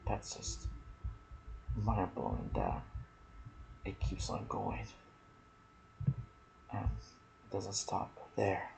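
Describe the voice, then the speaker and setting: Low, creaky-voiced man in soft ASMR commentary. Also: sing-song, drawn-out words, pauses often, slight background noise, poor audio. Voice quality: soft, breathy, partly whispered. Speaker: gaming video creator and host. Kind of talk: ASMR gaming commentary mixed with casual chat.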